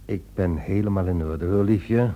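An actor playing a weary, guilt-ridden husband, earnest but strained, in a radio play. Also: male voice, low voice, moderate pace, lightly acted. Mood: tired, soothing. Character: weary, guilt-ridden, evasive devoted husband, helpline volunteer. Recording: dull, lo-fi old radio recording.

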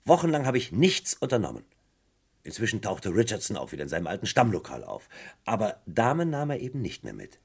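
Needling male police inspector for a vintage crime radio drama: swooping intonation, slightly deep, falsely courteous and theatrical. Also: huge pitch swings, fairly quick, creaky, husky, full character voice. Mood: explaining, satisfied. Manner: feigned politeness, probing questions, theatrical emphasis. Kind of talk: vintage German crime radio play acting.

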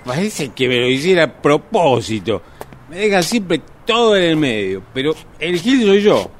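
Actor as a grumbling tipsy Argentine husband, animated. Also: male voice, fairly high pitch, moderate pace, a little hiss or hum. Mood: exasperated muttering. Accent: Argentine Rioplatense Spanish. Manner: muttering, exasperated complaining to himself. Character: grumbling tipsy husband stumbling home at night. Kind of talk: radio drama monologue.

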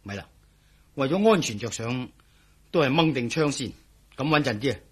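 An actor playing a jittery, blustering police lieutenant colonel in a radio drama, with a Hong Kong Cantonese accent. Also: male voice, medium pitch, lively intonation, moderate pace, husky. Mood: nervous, sceptical.

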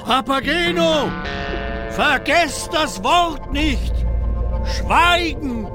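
Stern priest, strikingly high voice. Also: male voice, lively intonation, extremely slow, music in the background, heavily acted. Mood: stern, threatening. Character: stern, commanding temple priest demanding silence. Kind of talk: theatrical radio drama proclamation.

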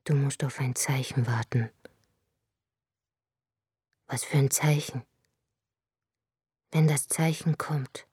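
A weary, husky-voiced writer. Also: female voice, very deep voice, fairly even intonation, takes it slowly, long pauses, no echo, clean studio sound, heavily acted. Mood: hushed. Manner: hushed, near-whispered inner monologue. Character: weary, searching woman writer turned inward. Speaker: main character and narrator of a radio drama. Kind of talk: radio drama with inner monologue.

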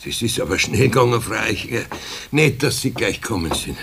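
An actor playing an ailing, grumbling but good-natured older Bavarian craftsman in a children's radio play, sighing and halting. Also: fairly low pitch, animated, vocal fry, a bit bright, a little hiss or hum. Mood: weary, impatient. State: ill, weary.

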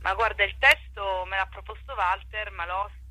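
A woman's voice: mid-range voice, normal speed, sharp tone, slightly rough, no room sound, rough recording. A woman being interviewed as an actress over a speakerphone call, explaining conversationally with hesitations and self-corrections. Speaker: stage actress interviewed as guest.

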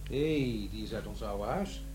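A man's voice: fairly low pitch, moderate pace, darkish tone, soft background music, lightly acted. An actor playing an awkward, defensive divorced father in middle age in a radio play, with a hesitant delivery. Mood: awkward, wistful. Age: middle-aged. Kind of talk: radio play acting, naturalistic dialogue and narration.

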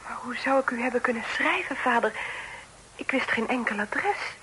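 An actress playing a loyal, earnest young daughter in a period radio drama, speaking formally with a pleading manner. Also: female voice, on the high side, lively intonation, normal speed, bright, forceful tone, slight background noise, poor audio. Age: young adult. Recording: old radio play recording.

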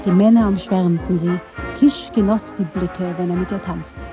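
A woman's voice: fairly low pitch, steady tone, moderate pace, dull audio, music in the background. A woman reading scripted narration for a radio documentary.

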